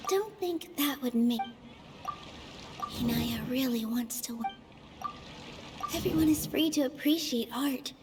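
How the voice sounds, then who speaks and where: A young dancer from an anime-style game. Her voice is notably high. She sounds gentle and sincere, choosing her words with care and quiet resolve. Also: background noise. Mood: hushed, self-steadying, then earnest, firm. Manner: sincere, careful, earnest.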